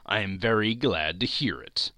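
A man's voice: on the low side, moderate pace, bright, forceful tone, no room sound, studio quality. A man voicing a curt, scornful old miser who growls, mutters and barks dismissals in a dramatic storybook reading. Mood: cold, sardonic.

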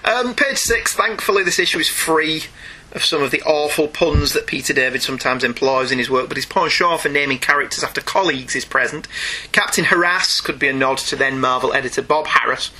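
A man's voice: a little high, fairly quick, sharp tone, poor audio. A man chatting casually as co-host of a comic-book review podcast, opinionated and wry. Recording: music behind the voice. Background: music.

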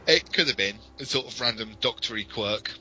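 A man's voice: mid-range voice, brisk, sharp tone, breathy edge, lo-fi sound. A British man co-hosting a podcast, giving detailed, enthusiastic, informal explanations. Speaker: podcast co-host.

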